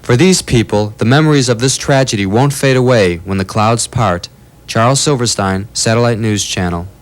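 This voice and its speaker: Male correspondent, scripted report, solemn and measured. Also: medium pitch, slight background noise. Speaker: news correspondent.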